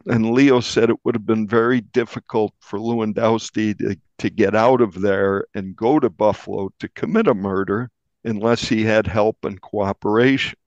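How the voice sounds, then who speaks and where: A man speaking as a journalist in an interview, giving a measured account of a case. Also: lowish voice, a little mellow, no room sound, muffled recording. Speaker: investigative journalist being interviewed.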